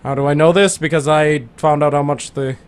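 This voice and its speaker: A man's voice: a little high, fairly even intonation, brisk, clear, resonant tone. A young man co-hosting a radio show, joking and rambling through casual banter. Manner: playful, jokey, rambling.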